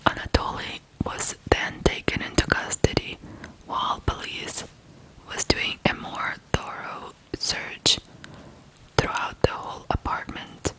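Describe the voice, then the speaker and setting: An ASMR host from Ukraine whispering a true crime story in a hushed, deliberate way with pauses. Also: poor audio.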